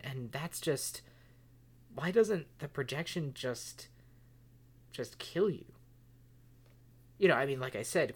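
Low-voiced, stammering, exasperated woman, acted out by a woman. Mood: subdued, uneasy. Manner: halting, stammering, swearing, emphatic.

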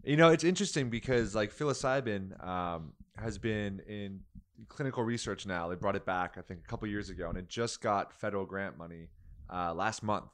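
A man's voice: on the low side, fairly quick. A man co-hosting a podcast, asking curious questions with hesitant fillers. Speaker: podcast co-host. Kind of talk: casual interviewing.

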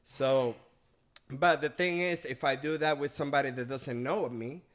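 A man's voice: mid-range voice, fairly even intonation, normal speed, a bit bright. Man, podcast guest; earnest, reflective, restarts often. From Venezuela. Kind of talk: casual chat.

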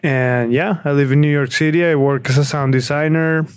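A man's voice: on the high side, steady tone, normal speed, smooth, no room sound. A young man from Colombia, a sound designer and producer, talking in an easygoing, slightly hesitant way. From Bogotá, Colombia. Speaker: music producer, guest.

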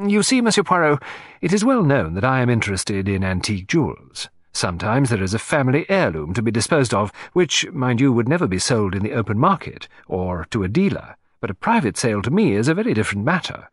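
A man voicing a fussy, excitable society gentleman in an audiobook, with a fluttery, emphatic way of talking. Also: medium pitch, a little fast, breathy edge, dry recording, studio quality. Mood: fussy explaining.

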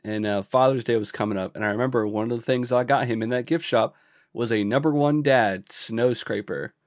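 A man with a stuffy, congested voice talks like a podcast co-host hanging out with a friend. His speech is loose, slangy and peppered with swearing. He sits at a middling pitch, recorded very dry. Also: a little fast, no echo. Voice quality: congested from a cold. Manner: slangy, swearing, says "bro" and "dude". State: getting over a cold. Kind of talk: casual chat.

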